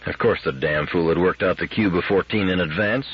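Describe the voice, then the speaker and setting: A man with a husky voice giving a scripted narration of a science fiction story as an audiobook reader. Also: low voice, a little fast, highs cut off.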